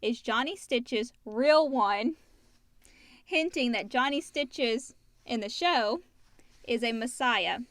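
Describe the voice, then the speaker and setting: A woman's voice: fairly high pitch, expressive, takes it slowly, fairly bright tone, no echo, clean studio sound. A woman co-hosting a podcast in a casual, conversational discussion style. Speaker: podcast co-host reading listener questions.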